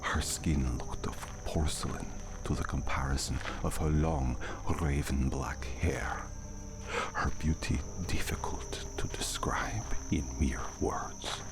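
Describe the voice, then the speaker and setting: A man playing a courteous, brooding vampire count in an ASMR audio drama, speaking formally in a gravelly near-whisper. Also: very deep voice, steady tone, full character voice. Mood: storytelling, wistful. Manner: formal, slow, measured speech. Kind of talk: ASMR roleplay acting. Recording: noisy, night crickets and outdoor ambience.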